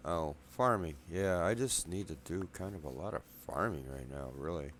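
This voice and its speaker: Soft-spoken deep man with a slight cold. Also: normal speed, a little hiss or hum. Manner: soft-spoken, rambling, self-deprecating. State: slight cold, a bit wheezy. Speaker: gameplay video maker. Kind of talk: casual let's play commentary. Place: apartment room at night.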